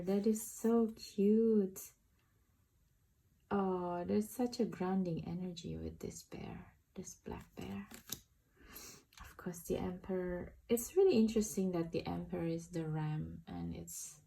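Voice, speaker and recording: Slow, creaky-voiced Indonesian woman. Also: on the low side, warm tone, poor audio. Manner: hesitant, halting, searching for words. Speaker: tarot deck reviewer. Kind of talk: casual unboxing and flip-through commentary.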